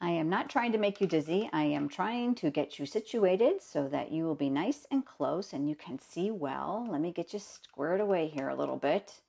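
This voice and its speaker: A woman's voice: sits low, animated, normal speed, vocal fry, hardly any pauses, dark tone, breathy edge. A woman with a cold hosts a casual live stream, chatting along, greeting viewers by name, her voice a little froggy. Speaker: host of a live stamping show.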